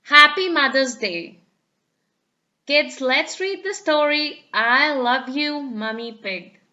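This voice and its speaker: A woman reading a picture book aloud to small children in an animated, deliberate way, on a slightly dull recording. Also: high-pitched. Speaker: storybook reader. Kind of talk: expressive read-aloud narration for small children.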